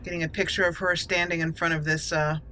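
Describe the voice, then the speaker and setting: Bright-voiced woman doing game commentary. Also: lowish voice, flat delivery, fast talker, slight background noise. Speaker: gaming channel host. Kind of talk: roleplay game walkthrough commentary.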